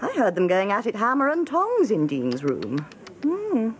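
An actress playing a jolly, slangy office copywriter in a British radio drama, with an upper-class English accent and a brisk, frank, drily witty manner. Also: female voice, on the high side, huge pitch swings, slight background noise.

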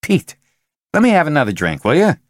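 A man voicing a loud, pushy middle-aged sales executive who rants and lets his voice climb into shouts. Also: on the high side, wildly swooping pitch, brisk, dry recording, clean studio sound, pitched above the performer's own voice. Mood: insistent. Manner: forceful, ranting, voice rising to shouts.

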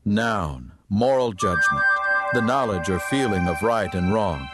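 A man's voice: fairly low pitch, expressive, measured pace. A man acting as a solemn announcer, reciting definitions as scripted narration for an audio drama. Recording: very loud music under the voice. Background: loud music.

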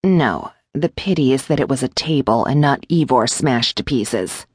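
A defiant captive heroine voiced by a woman narrator from a fantasy novel, low in pitch and sardonic. She speaks bluntly and wryly, in a close, dry sound. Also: expressive, normal speed, darkish tone, breathy edge, dry recording, full character voice. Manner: wry, blunt.

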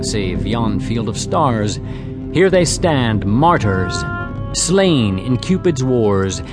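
An imperious king in a Shakespearean audio play. He declaims grandly and unhurriedly, full of menace, with music playing behind him. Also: medium pitch. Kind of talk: Shakespearean audio drama acting.

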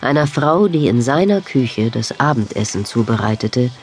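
Middle-pitched male radio drama narrator. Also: animated, normal speed, faint music behind.

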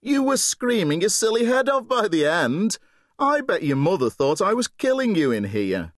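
A man's voice: sits high, moderate pace, dry recording, clean studio sound, voice pushed up. A man voicing an amused middle-aged father who teases his son. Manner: teasing, relaxed.

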